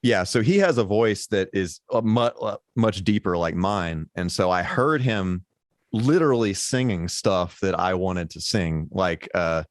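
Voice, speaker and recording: Baritone guy rambling on a podcast, lots of ums, dry close sound. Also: animated, a little slow, dry recording. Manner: rambling, many fillers and restarts. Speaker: guest musician. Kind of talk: casual podcast conversation.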